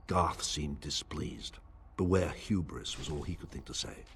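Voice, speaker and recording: A male voice actor playing a grieving, obsessive lord slipping into tyranny, reading his diary aloud in a husky, breathy voice with brooding, theatrical delivery. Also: a bass voice, fairly bright tone, a little hiss or hum, full character voice. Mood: hungry, obsessed. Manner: brooding, theatrical, deliberate phrasing.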